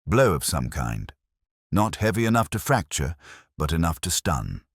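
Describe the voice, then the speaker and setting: A man voices a blunt male police doctor in a mystery story. The speech is clipped and clinical, with wide swings in pitch and a husky touch. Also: very animated, moderate pace, no room sound, clean studio sound, heavily acted. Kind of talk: mystery audiobook character reading.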